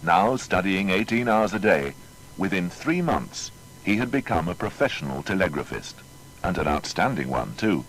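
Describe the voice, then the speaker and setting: A man narrating a scripted dramatised biography in a formal, measured storytelling manner. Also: low-pitched, big pitch swings, a little hiss or hum, poor audio.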